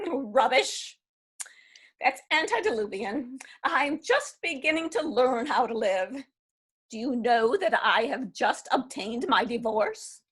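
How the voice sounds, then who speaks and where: A woman playing a mischievous old divorcee, husky. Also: a little high, lively intonation, slow pace, a bit bright, exaggerated voice. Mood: gleeful. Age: 70s. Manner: laughing, brash and amused. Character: spirited elderly divorcee, mischievous and defiant. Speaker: actor. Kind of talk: readers theatre reading.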